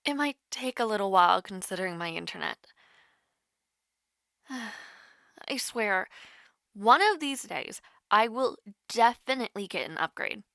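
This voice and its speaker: Bright, nervous young woman, acted, on a call. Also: medium pitch, animated, gravelly, no echo, studio quality, exaggerated voice. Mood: sheepish, apologetic. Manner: hesitant, halting, teasing. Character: nervous, playful young woman calling her online crush. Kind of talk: intimate audio roleplay, one-sided call.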